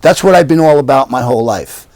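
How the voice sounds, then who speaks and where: A man's voice: medium pitch, animated, fast talker, a little mellow, no room sound. Earnest older man, dry close sound. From Yonkers, New York. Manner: earnest, repetitive campaign speech. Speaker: council candidate. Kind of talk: interview answers. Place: living room at home.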